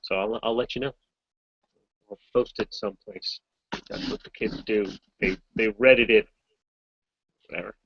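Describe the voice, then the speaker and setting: Low-voiced voice actor guy rambling through fan q&a, dry muffled call audio. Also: steady tone, moderate pace, gravelly, long pauses, a bit bright, breathy edge, dry recording. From Massachusetts, US. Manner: chatty, rambling, self-deprecating. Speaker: voice actor answering fan questions. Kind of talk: casual Q&A chat. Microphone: video call.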